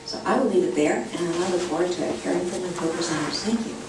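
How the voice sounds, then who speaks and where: Low-voiced woman speaking deliberately. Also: heavy background noise. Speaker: panelist, former official. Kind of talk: conference panel talk. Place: university conference room. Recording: echoey hall, distant mic.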